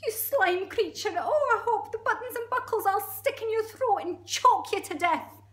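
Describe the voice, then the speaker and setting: A little monkey from a children's tale. The voice leaps very high and squeaky, excitable and exclamatory, friendly but easily horrified. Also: female voice, moderate pace, roomy sound.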